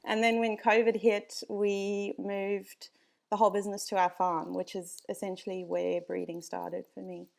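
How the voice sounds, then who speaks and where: A woman's voice: medium pitch, flat delivery, normal speed, vocal fry, a little mellow. A woman with a South African accent, a dog breeder chatting casually as a podcast guest, with frequent ums and easy laughs.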